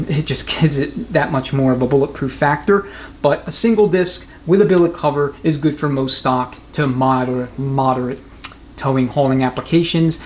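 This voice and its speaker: A man's voice: mid-range voice, a little hiss or hum. A man giving an unscripted, hands-on technical demonstration as a mechanic, explaining things in a matter-of-fact way. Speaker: transmission mechanic and instructor. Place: transmission workshop.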